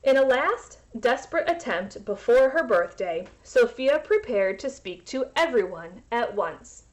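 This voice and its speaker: Slow, animated woman reading to children. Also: on the high side. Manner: teacherly, explains words to children. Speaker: read-aloud storyteller for children. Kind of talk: expressive children's storybook read-aloud with asides to listeners.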